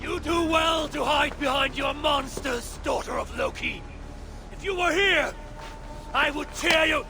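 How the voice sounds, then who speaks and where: An actor playing a proud, wrathful elder god-king in a video game, gravelly and grandly archaic in his speech. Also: very high voice, unhurried, music in the background. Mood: defiant, outraged. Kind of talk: dramatic video game acting.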